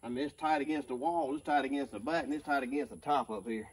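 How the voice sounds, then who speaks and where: A man's voice: medium pitch, fast, slightly rough, poor audio. A man, chatting casually outdoors in a rural yard while he works to free an old bus, drawling and whooping. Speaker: vlogger pulling out an old bus. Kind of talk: casual work vlog.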